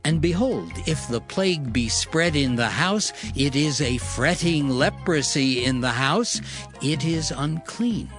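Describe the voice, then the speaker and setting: A man narrating scripture from a script in a measured, solemn manner. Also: fairly high pitch, expressive, fairly bright tone. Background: background music.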